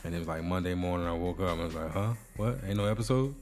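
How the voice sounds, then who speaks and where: A man's voice: sits low, very fast, a little mellow, soft background music. A man with a cold and a nasal voice hosting a casual podcast, joking and bantering. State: sick, nasal. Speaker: podcast host. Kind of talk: casual chat.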